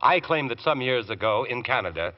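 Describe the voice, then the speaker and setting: An actor playing a relentless barrister in an old radio drama, questioning in a clipped, insistent, accusatory way. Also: male voice, medium pitch, lively intonation, brisk, close to an everyday voice. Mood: pointed, probing. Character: relentless male defence barrister grilling a witness. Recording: dull lo-fi old radio recording.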